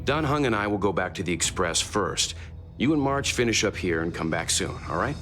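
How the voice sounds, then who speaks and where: A man voicing a calm, fatherly, thoughtful middle-aged mentor in a video game. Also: low voice, brisk, over music. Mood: gently guiding.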